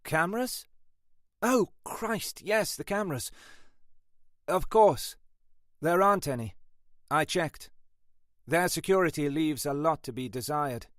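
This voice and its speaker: A man's voice: a little high, lively intonation, a little slow, pauses often, fairly bright tone, no room sound, studio quality, voice pushed up. A man voicing a flustered, apologetic female detective sergeant who hesitates and evades, in an audiobook. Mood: alarmed. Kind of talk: audiobook character dialogue.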